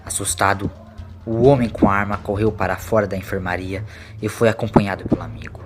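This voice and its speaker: A man's voice: fairly low pitch, steady tone, fairly quick, over loud music. A man narrating a scripted audio story in a dramatic storytelling style.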